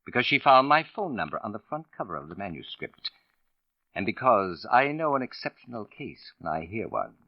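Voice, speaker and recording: An actor playing a suave, condescending writer and sleuth in a 1940s radio drama, speaking with pompous, theatrical precision. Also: male voice, on the low side, very animated, fairly quick, no echo. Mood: smug, theatrical. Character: suave, condescending male writer turned shrewd accusing sleuth. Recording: dull vintage radio recording.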